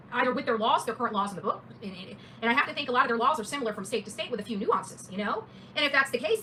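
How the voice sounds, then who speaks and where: Woman, livestream host, brisk pace, forceful bright voice, blunt and emphatic, casual crime commentary, opinionated. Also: sits high, slight background noise, poor audio.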